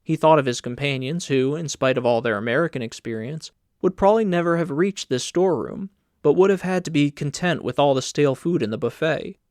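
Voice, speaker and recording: Slightly high-voiced man narrating an audiobook. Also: fairly quick, few pauses, a little mellow, no echo, clean studio sound. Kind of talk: scripted literary narration.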